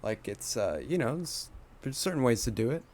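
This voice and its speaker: A man with an average-pitched voice chats as a podcast co-host. His delivery is loose and informal, like talk among friends about games. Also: animated, moderate pace, darkish tone. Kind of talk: casual chat about video games.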